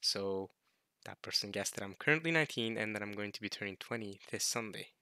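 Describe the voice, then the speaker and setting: A man in his late teens chatting casually to viewers as a video host, jokey and animated, repeating words in bursts as he rambles. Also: on the low side, brisk, clean tone, no room sound, clean studio sound. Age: late teens, turning twenty. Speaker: host of a video series reading a visual novel.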